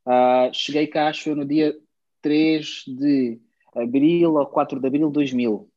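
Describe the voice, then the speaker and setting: A man around thirty, from Angola, slowly recalling his childhood in a casual podcast chat, with frequent pauses. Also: a little high, steady tone, darkish tone, no echo. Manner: reflective, halting. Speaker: podcast co-host. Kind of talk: casual chat, personal story. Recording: slightly muffled.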